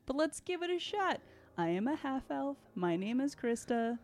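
A woman playing a tabletop game, hesitant and halting, describing her character with many fillers. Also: high voice, sing-song, a little mellow. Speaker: player. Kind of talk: casual chat, describing a character.

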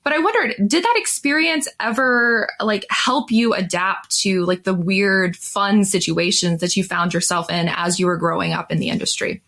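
A woman with a middle-pitched voice speaks as a co-host and interviewer. Her delivery is casual and conversational, like a relaxed chat. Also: moderate pace, hardly any pauses.

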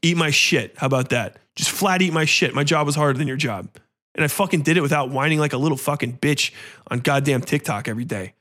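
A man's voice: mid-range voice, fairly bright tone. A man hosting a podcast, giving casual, opinionated film commentary in a blunt, profane, sarcastic, ranting way. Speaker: podcast host reviewing a horror film.

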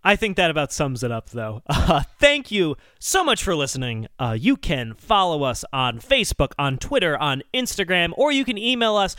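A man's voice: fairly high pitch, big pitch swings, normal speed, few pauses, pushed and bright, no room sound, studio quality. A man hosting a comedy podcast, chatting casually. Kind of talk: casual chat.

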